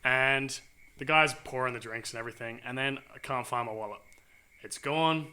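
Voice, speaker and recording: A man tells a laid-back, animated story on a casual podcast. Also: mid-range voice, normal speed. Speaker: podcast co-host.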